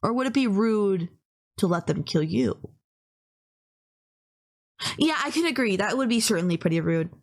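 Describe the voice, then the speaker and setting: A woman's voice: mid-range voice, animated, normal speed, long pauses. A woman playing a polite, gushing young female kidnapper in an intimate anime-style roleplay, earnest, apologetic and rambling, obsessively in love. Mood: earnest, insistent.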